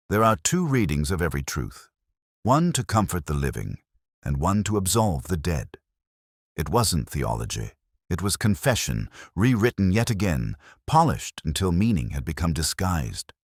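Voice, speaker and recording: A man plays a weary, melancholy detective looking back on a case in an audio drama. His voice is exceptionally deep, with wide, expressive swings of intonation. The telling is brooding and literary, recorded close and dry. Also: dry recording, heavily acted. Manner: brooding, measured, literary phrasing. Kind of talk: first-person literary mystery narration, audio drama.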